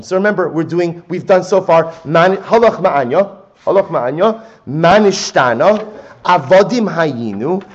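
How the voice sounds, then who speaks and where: A man's voice: on the high side, darkish tone, lo-fi sound. A man giving a religious text lecture as a teacher, emphatic, repeating key phrases and asking rhetorical questions as he explains sources.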